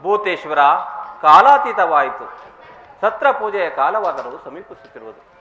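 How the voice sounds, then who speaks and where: A reverent creator god of mythological drama, acted by a man declaims emphatically. The voice is high and animated, echoing in a roomy space. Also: a little slow, exaggerated voice. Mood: urging. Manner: formal, emphatic, swooping declamation. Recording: roomy echo.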